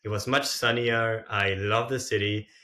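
A young man chats as an interview guest. His voice sits slightly low but has a bright, forward edge. He tells his story loosely and informally, with plenty of conversational filler words. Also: steady tone, unhurried. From Austria. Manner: informal, frequent "like" and "sorta". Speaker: podcast guest, entrepreneur.

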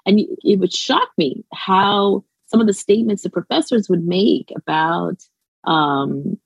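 A woman's voice: mid-range voice, a little slow, gravelly, husky, no echo, muffled recording. A Canadian woman with a light Jamaican lilt, speaking as a guest scholar in a casual interview, rambling with many restarts and fillers and laughing often.

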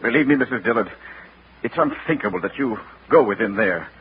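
A man's voice: mid-range voice, animated, fairly quick, lightly acted. An actor playing a grave, firm man of authority in a 1940s radio drama. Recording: muffled, noisy 1940s radio recording.

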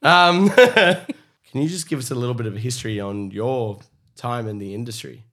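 A man hosting a casual talk show, his voice raspy from the end of a cold. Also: medium pitch, moderate pace. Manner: scripted intro, then relaxed chatty. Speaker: podcast host. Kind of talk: casual chat.

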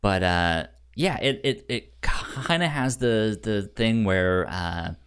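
A man co-hosts a casual podcast in a fairly low voice. He talks slowly and haltingly, often repeating the first sounds of a word before getting it out. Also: lively intonation, clear, resonant tone. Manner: halting, stammers over word starts. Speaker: podcast co-host. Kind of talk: casual chat.

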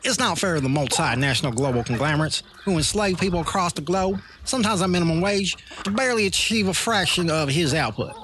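A man's voice: on the high side, expressive, fast talker, fairly bright tone, slight background noise. An actor playing an aggrieved male international official ranting in a mock news soundbite. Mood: indignant. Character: indignant male international official denouncing Santa.

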